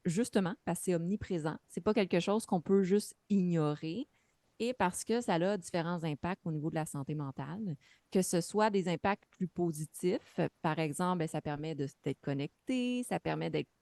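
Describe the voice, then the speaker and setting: A woman's voice: fairly low pitch, moderate pace, mellow, no echo. A woman speaking as a mental health practitioner on a webinar panel, explaining things with many fillers over a video call. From Quebec. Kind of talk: webinar talk.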